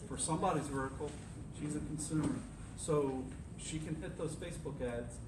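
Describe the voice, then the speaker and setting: A man's voice: on the high side, moderate pace. A man on a discussion panel, explaining things in a direct, advice-giving way. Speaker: panelist, agency CEO. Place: university lecture room. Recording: echoey room, distant mic, noisy.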